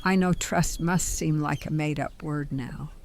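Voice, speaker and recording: A woman playing a composed, benevolent older stranger in an audio drama, speaking in a measured, polite, articulate way. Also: low-pitched, darkish tone, a little hiss or hum. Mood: earnest, hopeful.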